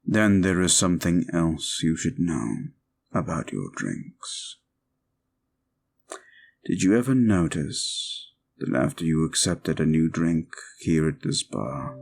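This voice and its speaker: A man playing a suave, possessive vampire in an audio roleplay, speaking formally and deliberately with a commanding manner. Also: very deep voice, expressive, gravelly, darkish tone, heavily acted. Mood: possessive, measured. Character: suave, controlling male vampire, courtly and possessive. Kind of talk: scripted audio roleplay, slow intimate monologue.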